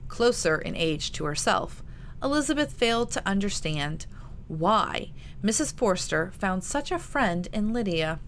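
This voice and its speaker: A woman's voice: medium pitch, lively intonation, a little slow, fairly bright tone, slight background noise. A woman reading a novel aloud as its author, in a scripted storytelling style. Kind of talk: scripted fiction narration.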